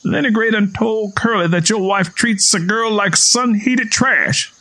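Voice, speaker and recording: Man voicing a high-pitched, taunting Southern man. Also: measured pace, pushed and bright, full character voice. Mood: smug. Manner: folksy, taunting, argumentative.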